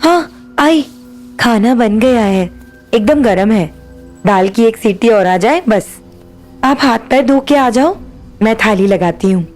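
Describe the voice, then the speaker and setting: A woman's voice: on the high side, expressive, slow pace, darkish tone, faint music behind. A woman playing an anxious young wife. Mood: hurried. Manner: worried, pleading. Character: young married woman, anxious about her lover.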